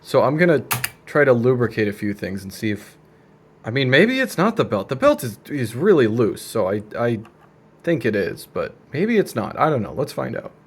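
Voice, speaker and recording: A young man talking casually and deadpan as he narrates a hands-on repair, with slangy, wry delivery. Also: mid-range voice, normal speed, slight background noise. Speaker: video creator. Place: indoor room at a desk.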